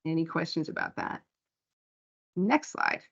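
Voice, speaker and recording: A woman's voice: sits low, huge pitch swings, a little slow, creaky, fairly bright tone, slightly rough, dull audio. A woman program director giving a practical, slide-by-slide financial report at a board meeting. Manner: plain, practical, walks through figures slide by slide.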